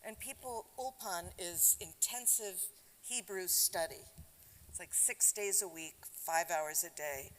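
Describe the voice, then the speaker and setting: Slow-talking older woman in a reverberant sanctuary. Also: on the low side, fairly bright tone. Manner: conversational and reflective, addressing the congregation. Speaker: congregant giving a talk at a service. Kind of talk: personal reflection at a Shabbat service. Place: synagogue sanctuary. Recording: long hall reverb with faint background noise.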